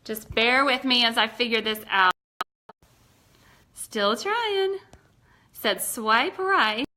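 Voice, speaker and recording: A woman's voice: on the high side, expressive, slow, pushed and bright, a little hiss or hum. A woman host interviewing a couple over a video call, chatty and enthusiastic. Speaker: interviewer and author.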